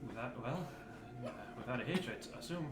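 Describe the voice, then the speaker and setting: A man's voice: medium pitch, expressive, fairly quick, noisy background. A man playing a tabletop game, bantering casually with friends. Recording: echoey room.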